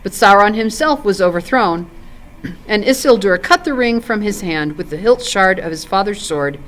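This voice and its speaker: Storybook role: ancient, solemn elf lord played by a woman, stately archaic speech, expressive pitch movement. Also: moderate pace, lightly acted, low-quality recording. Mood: grave, solemn. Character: grave, ancient male elf lord recalling old wars. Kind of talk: storybook character in read-aloud.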